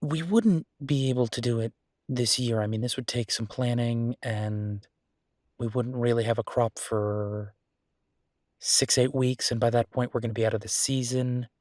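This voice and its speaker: A man's voice: mid-range voice, steady tone, moderate pace, a little mellow, dry recording, close to an everyday voice. Man acting easygoing boyfriend, close dry sound. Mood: musing, persuasive. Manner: casual, chatty, thinking aloud. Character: easygoing, affectionate male restaurant owner in the mountains. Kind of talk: boyfriend roleplay audio drama, one-sided conversation.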